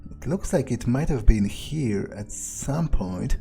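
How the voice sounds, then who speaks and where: A man's voice: medium pitch, animated, slow, creaky, warm tone, faint music behind. A man roleplaying an irritable, cynical vampire in a video game, muttering bluntly and thinking aloud. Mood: wary muttering. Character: irritable, foul-mouthed, cynical male vampire errand-runner.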